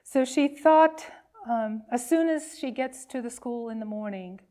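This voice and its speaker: A woman with a fairly high voice leads worship as a minister. She speaks very slowly, leaving pauses between phrases as she reads and tells a story for children. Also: dark tone.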